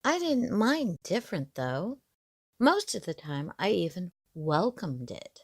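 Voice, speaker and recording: A woman narrating a scripted story in the first person, in a measured, expressive storytelling manner. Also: lowish voice, husky, dry recording, studio quality.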